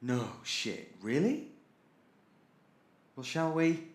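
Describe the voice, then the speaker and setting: A man's voice: a little high, very animated, drawn-out words, reverberant, heavily acted. A man playing an irritable, sardonic robot crewman in an audio drama, theatrically exasperated and sarcastic. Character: irritable sardonic male robot crewman keeping a ship's log.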